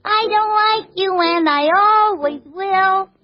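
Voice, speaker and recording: A woman's voice: very high-pitched, slow. A woman playing a very young girl griping about her boyfriend in a pouty, scolding 1940s radio comedy song. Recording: muffled vintage radio recording, music behind. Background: musical accompaniment.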